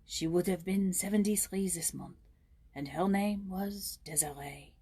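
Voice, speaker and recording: Woman voicing an agitated, husky old man. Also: lowish voice, measured pace, mellow. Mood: brooding. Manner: intense, abrupt, vehement. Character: agitated, bitter, shaggy-browed old man.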